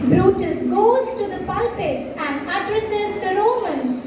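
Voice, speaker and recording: A young woman narrates a school play from a script. Her voice is very high and slow. It sounds distant in a strongly echoing hall. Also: expressive, darkish tone. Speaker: stage narrator. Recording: distant echoey hall, faint noise.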